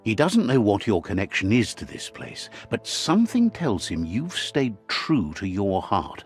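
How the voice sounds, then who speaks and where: A middle-aged man narrating a game in a measured, wise storytelling manner. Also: fairly low pitch, big pitch swings, faint music behind.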